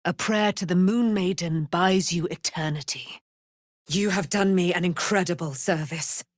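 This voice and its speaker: A woman's voice: on the low side, unhurried, dry recording, clean studio sound. A woman playing a proud, righteous immortal warrior in a video game, with grand, formal, declamatory delivery and archaic phrasing. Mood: proud, gracious. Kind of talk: dramatic video game voice acting.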